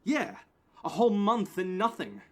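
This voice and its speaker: A man's voice: high-pitched, big pitch swings, normal speed, pitched above the performer's own voice. A man playing an anxious, unsure young security guard in an audio play, hesitant and defensive. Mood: disappointed.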